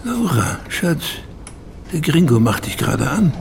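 A man's voice: on the high side, very animated, a little slow, gravelly, a little hiss or hum, full character voice. An actor playing a sly, mocking inner voice that needles a woman with sardonic, insinuating commentary in a radio drama.

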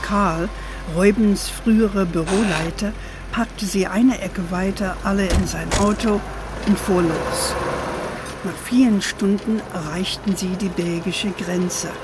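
A woman's voice: medium pitch, fairly even intonation, background noise, lightly acted. An actress playing an older grandmother with an American-tinged German accent, tenderly telling her family history in a children's radio play. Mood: grave storytelling. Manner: measured, tender, sprinkles in English endearments. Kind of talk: gentle bedtime storytelling in a children's radio play.

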